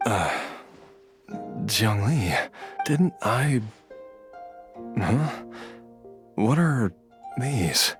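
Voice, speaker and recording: Tired gentle game dad, slow and hesitant, mid voice, music playing behind. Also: male voice, medium pitch, very animated. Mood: dazed, groggy. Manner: halting, hesitant. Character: weary, gentle father newly returned from death. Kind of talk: video game voice acting.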